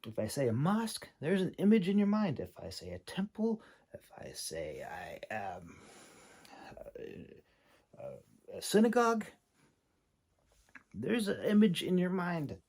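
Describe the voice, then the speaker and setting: A man giving an informal, unscripted critique in a halting, hesitant way, with many pauses and repeated words. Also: high voice, huge pitch swings, a little mellow. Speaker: audiobook narrator and manuscript critic.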